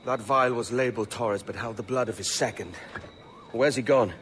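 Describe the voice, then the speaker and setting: An actor playing a world-weary, earnest pirate captain in a cinematic video game. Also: male voice, medium pitch, normal speed, fairly bright tone, a little hiss or hum, lightly acted. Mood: urgent.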